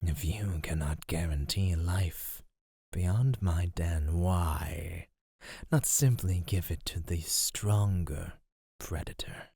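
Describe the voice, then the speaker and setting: A man playing a teasing, condescending serpentine monster predator in a theatrical ASMR roleplay, speaking in a deliberate, menacing way. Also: sits low, gravelly, warm tone, breathy edge, no echo, studio quality, exaggerated voice. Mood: seductive menace.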